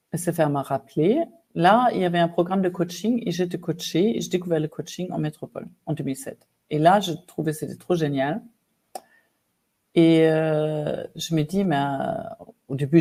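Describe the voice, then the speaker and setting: A woman in her fifties from Germany with a German accent, a coach telling her life story as a podcast guest, lively with many fillers. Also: deep voice. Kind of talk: casual storytelling interview.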